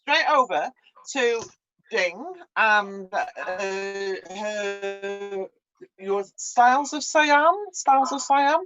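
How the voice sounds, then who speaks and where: A woman's voice: mid-range voice, extremely slow, a bit bright, dull audio, soft background music. A woman in her sixties hosting a live cookalong over a video call from her caravan, chatty and effusive. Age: 60s. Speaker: host. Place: caravan on a campsite.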